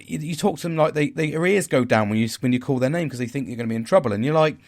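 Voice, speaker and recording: A man with a deep, loud voice, hosting a podcast and talking through a personal reflection in a rambling, candid way, swearing now and then. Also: racing. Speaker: podcast host. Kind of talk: casual reflective monologue.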